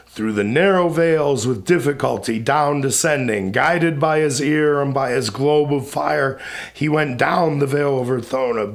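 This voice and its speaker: A man giving a solemn, dramatic recitation of epic poetry in a grave, declamatory manner with deliberate pauses between phrases. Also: medium pitch, vocal fry.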